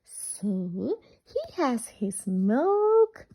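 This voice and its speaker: A woman's voice: fairly high pitch, breathy edge. A woman reading a picture book aloud to children in a singsong, interactive way, asking questions as she goes. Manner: animated, singsong, asks questions and pauses for children. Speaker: children's storyteller.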